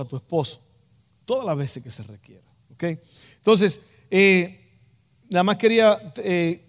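A man with a Dominican Spanish accent preaches a sermon, emphatic and direct, repeating key phrases for weight. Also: a little high. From Dominican Republic. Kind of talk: sermon to a congregation. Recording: long hall reverb.

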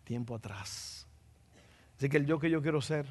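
A man's voice: medium pitch, normal speed, a little mellow, in a large hall. A man with a Dominican accent preaching a sermon, playful and joking, often repeating phrases for emphasis. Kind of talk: sermon with jokes.

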